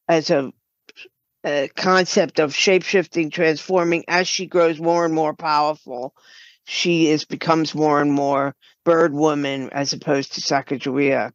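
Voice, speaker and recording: A woman speaking as a writer in a podcast interview, explaining her work haltingly with broken-off sentences. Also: sits low, dry recording, muffled recording. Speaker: guest, writer and audio drama producer.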